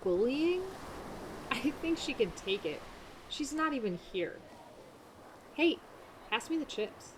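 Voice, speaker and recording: A fragile, open-hearted woman in an audio drama. She talks very slowly and haltingly, in a natural way. There is clear ambient noise around her. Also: high-pitched, sing-song, heavily acted. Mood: dismissive. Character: emotionally open, fragile woman in self-help group. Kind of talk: naturalistic audio fiction acting.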